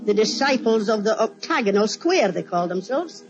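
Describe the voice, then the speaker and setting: A woman's voice: fairly high pitch, lively intonation, moderate pace, close to an everyday voice. Woman acting a motherly Scottish housekeeper, old radio. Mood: confiding. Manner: fussing, deferential. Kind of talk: old-time radio detective drama acting. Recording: dull old radio recording.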